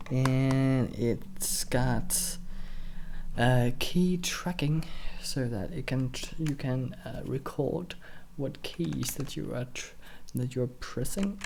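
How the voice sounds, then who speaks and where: A man doing a soft-spoken ASMR roleplay as a shop assistant, talking in a hesitant, halting, unhurried way. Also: a little high, vocal fry, slight background noise. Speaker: ASMR creator playing a keyboard shop assistant.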